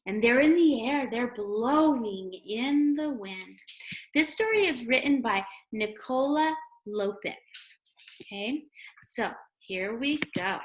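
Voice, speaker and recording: A woman's voice: on the high side, lively intonation, slow pace, poor audio. A woman teacher giving a read-aloud lesson to young children, speaking simply and asking them questions, recorded at home. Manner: simple, child-directed talk with questions and sounded-out letters.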